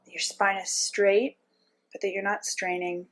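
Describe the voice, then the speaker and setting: A woman narrating a guided meditation as a teacher, giving gentle step-by-step instructions with frequent pauses. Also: medium pitch, level tone.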